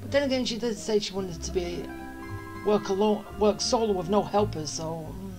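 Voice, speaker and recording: A woman's voice: mid-range voice, moderate pace. A woman doing casual gameplay commentary, hesitant as she muses aloud. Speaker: game streamer. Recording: game music behind the voice.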